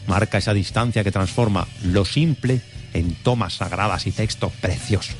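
A man from Spain hosting a radio film show, joking and quick to argue as he reads out film synopses. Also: on the low side, faint music behind. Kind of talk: film review radio chat.

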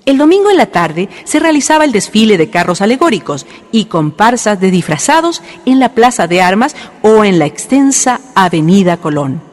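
A woman's voice: medium pitch, big pitch swings, moderate pace, few pauses. A woman reading scripted historical narration for a radio drama. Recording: long reverb, music behind.

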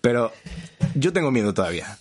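A man's voice: mid-range voice, sing-song, brisk, husky. A man in his thirties from Madrid with a Madrid accent, co-hosting a casual comedy podcast with crude, exaggerated jokes. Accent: Madrid Spanish. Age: 30s. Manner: joking, exaggerated, crude slang. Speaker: podcast co-host.

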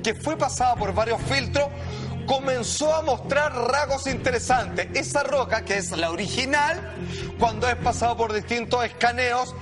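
Man, Chilean accent, very high pitch, TV presenter, emphatic, slangy, theatrical, music in background. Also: normal speed. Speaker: TV show presenter. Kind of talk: excitable commentary on a talk show.

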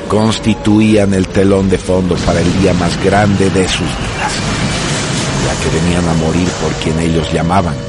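A male narrator with a low voice delivers scripted radio drama narration over loud music. His manner is solemn and measured, with a literary, dramatic weight. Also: fairly even intonation.

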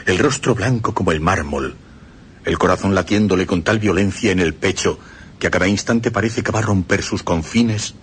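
Low-voiced male storyteller, expressive horror narration for radio; faint noise in the background.

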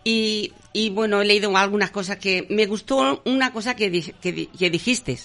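A woman's voice: mid-range voice, moderate pace, fairly bright tone. Hesitant older woman host. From Andalusia, Spain. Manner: hesitant, many fillers and restarts. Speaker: radio host. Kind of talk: radio interview. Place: radio studio.

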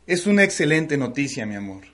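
A man playing an affectionate, earnest gentleman husband in a radio soap, with a Mexican accent. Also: fairly high pitch, very animated, a little fast. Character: devoted, tender husband, well-off gentleman. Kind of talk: radio soap opera acting.

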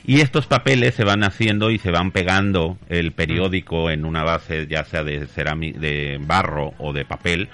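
A man's voice: low-pitched, moderate pace, hardly any pauses, fairly bright tone. A man, a craftsman interviewed as a guest, explaining things in casual conversation. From Mexico.

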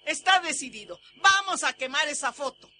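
A woman's voice: high-pitched, lively intonation, moderate pace, very noisy. An actress playing an anguished, fearful old Mexican grandmother in a melodramatic horror radio drama. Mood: grave, fearful.

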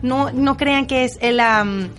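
A woman hosting a radio show, chatting directly with listeners and guests in a lively conversational way. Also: sits high, slow. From Venezuela. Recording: music behind the voice. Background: music.

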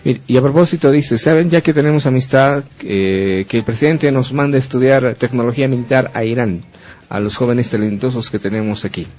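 A man's voice: medium pitch, brisk, darkish tone. Hesitant man on radio. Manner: agreeable, hesitant, with many fillers. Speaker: radio co-host. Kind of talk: casual radio talk. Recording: dull lo-fi radio with faint music.

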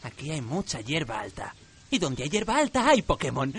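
A man playing an obsessive, excitable monster trainer in his twenties in a comic radio play. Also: on the high side, moderate pace, breathy edge, heavily acted. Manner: manic, excitable.

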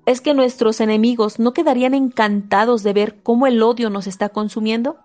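A woman giving a steady, measured reading of a self-help book, with a few small slips and restarts. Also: fairly high pitch, faint music behind. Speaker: audiobook reader.

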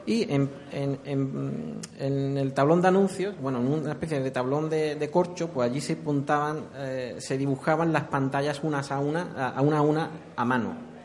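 A man's voice: mid-range voice, normal speed, a little mellow. Spanish man recounting as an interviewee. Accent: Spanish from Spain. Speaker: interviewee, former video game programmer. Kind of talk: conversational anecdotal recounting.

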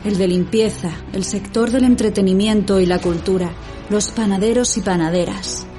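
A woman's voice: mid-range voice, steady tone, moderate pace, music in the background, lightly acted. A woman playing an opinionated fictional character in an audio drama. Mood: solemn, earnest. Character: opinionated female fictional character, partner of her writer.

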